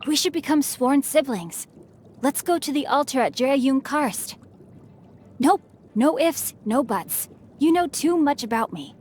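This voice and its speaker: A woman's voice: sits high, lively intonation, a little slow, darkish tone, slight background noise. A woman voicing a bookish, mischievous young noble boy in a video game, speaking in refined, formal phrases with a playful, teasing manner. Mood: playful, insistent. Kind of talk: scripted game character voicelines. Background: background music.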